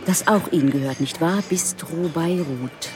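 A woman's voice: low voice, normal speed, music in the background, lightly acted. A woman playing a businesslike police detective around forty in a radio drama, dry, formal and matter-of-fact. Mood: stern, insistent. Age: 40s.

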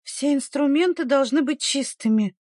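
A woman's voice: high voice, expressive, normal speed, darkish tone, husky, no echo, studio quality, voice pushed up. A woman voicing a caring but fiery young village midwife in an audiobook story, speaking earnestly and urgently. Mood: reassuring, patient.

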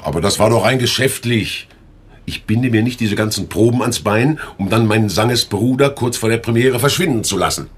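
An actor playing a defensive, indignant small-business boss in a radio drama, flustered and emphatic. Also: male voice, on the low side, normal speed, close to an everyday voice.

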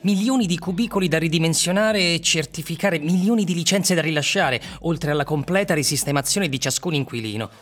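Higher-voiced sceptical city tenant. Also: male voice, brisk, few pauses, fairly bright tone. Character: John Ward, male cramped city tenant, sceptical and matter-of-fact. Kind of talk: Italian radio drama acting.

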